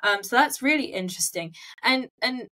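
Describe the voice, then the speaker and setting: A woman hosting a conversational interview, reflecting on points and softening them as she goes. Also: fairly high pitch, lively intonation, normal speed. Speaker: podcast host, interviewer.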